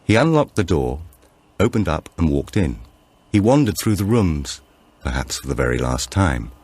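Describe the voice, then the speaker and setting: Male audiobook narrator for supernatural thriller, deep register, expressive pitch movement, measured suspense, dry studio sound. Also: dry recording. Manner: measured, suspenseful storytelling with animated dialogue.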